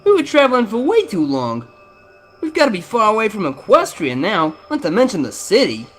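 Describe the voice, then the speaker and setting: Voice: mid-range voice, wildly swooping pitch, a little fast, fairly bright tone, faint music behind. A performer voicing a sceptical, glum young pony filly in a horror audio drama, doubting and resigned. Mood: doubtful.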